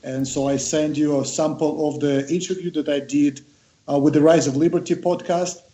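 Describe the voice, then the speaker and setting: A Russian-born man is interviewed as a guest, telling his story in a friendly way. His voice is a little higher than average but mellow. It sounds echoey, as if recorded in a bare room. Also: fairly even intonation, moderate pace. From Siberia, Russia.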